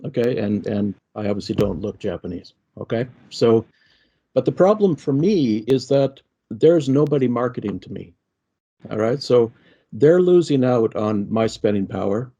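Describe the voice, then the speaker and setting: A man's voice: mid-range voice, animated, moderate pace, dark tone, slightly rough, muffled recording. A middle-aged man with a Canadian accent, speaking conversationally on an online panel and often checking in with "okay?". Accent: Canadian English.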